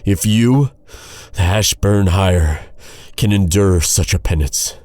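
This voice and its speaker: Man acting a deep, brooding fantasy knight. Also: darkish tone, no echo, clean studio sound. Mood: solemn, devoted. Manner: earnest, dramatic, halting with heavy sighs. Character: brooding, guilt-ridden male knight, bitter yet tenderly devoted. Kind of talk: dark fantasy ASMR roleplay acting.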